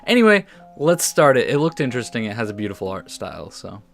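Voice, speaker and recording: A man's voice: medium pitch, sing-song, quick pace, gravelly, fairly bright tone. Man doing a let's play over guitar music. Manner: casual, stumbles over words when reading aloud. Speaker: gaming video host. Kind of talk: let's play commentary mixed with reading story text aloud. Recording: music under the voice.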